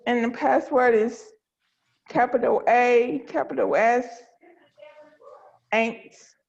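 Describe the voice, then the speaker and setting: A woman's voice: fairly high pitch, steady tone, slow pace, gravelly, darkish tone. A woman chatting casually as a participant on a video call, with lo-fi sound. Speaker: table read participant. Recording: dull lo-fi online call audio.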